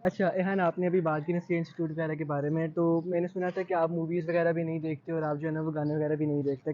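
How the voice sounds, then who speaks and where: A man's voice: on the high side, mellow, dull audio. A young man with a Pakistani accent asking casual interview questions and mixing in English phrases. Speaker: podcast interviewer.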